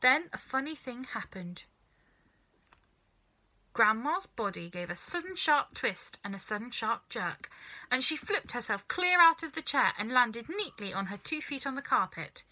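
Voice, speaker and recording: Animated, bright-voiced woman reading a story. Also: fairly high pitch, unhurried, rough recording. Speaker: storybook reader. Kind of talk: animated children's story narration.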